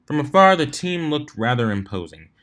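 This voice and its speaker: A man's voice: a little high, sing-song, normal speed, fairly bright tone. A man narrating fan fiction in a storybook reading style.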